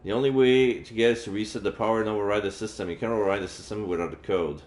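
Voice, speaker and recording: A man's voice: fairly low pitch, steady tone, rapid-fire, darkish tone. A man streaming a game and reading its visual novel text aloud. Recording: echoey room with faint game music. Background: faint game music.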